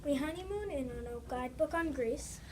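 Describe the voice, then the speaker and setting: A child reading a scripted part aloud in a deliberate reader's theater recital. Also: high voice, lively intonation, a little mellow. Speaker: student reader. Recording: distant mic, noisy room ambience.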